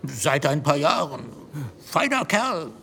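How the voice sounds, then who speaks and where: Gravelly, shady old-school gambler. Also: male voice, fairly high pitch, sing-song, takes it slowly, pushed and bright, full character voice. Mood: smug, admiring. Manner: colloquial, anecdotal, theatrical. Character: chatty middle-aged gambler, shady underworld fixer with sentimental streak. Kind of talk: German radio drama acting. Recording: reverberant room, faint ambient noise.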